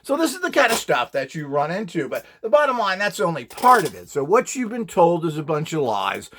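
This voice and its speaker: A man's voice: fairly high pitch, wildly swooping pitch, brisk, fairly bright tone, a little hiss or hum. A man instructor speaking informally as he demonstrates, with a blunt, opinionated and emphatic manner. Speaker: instructor selling courses and devices.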